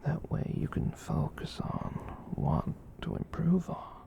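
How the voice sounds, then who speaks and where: Gentle giant catboy someone acting it whispering asmr comfort, soft dark tone, really slow soothing rambles. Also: medium pitch, expressive, heavily acted. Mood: gentle, reassuring. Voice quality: breathy whisper. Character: gentle giant catboy, soothing, reassuring, shyly self-conscious.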